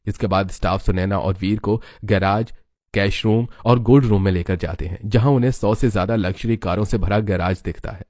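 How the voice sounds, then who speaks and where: A man's voice: lowish voice, steady tone, brisk, darkish tone, no echo, clean studio sound. A man narrating a scripted moral story as its storyteller.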